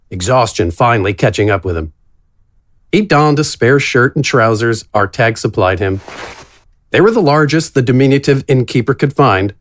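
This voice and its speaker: Animated male fantasy storyteller. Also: mid-range voice. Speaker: narrator. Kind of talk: scripted fantasy audio narration.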